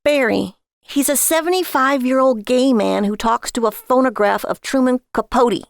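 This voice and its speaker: A woman's voice: high-pitched, normal speed, vocal fry, no echo, clean studio sound, voice pushed up. A woman voicing an exasperated, nagging wife in a story, sarcastic and scolding toward her husband.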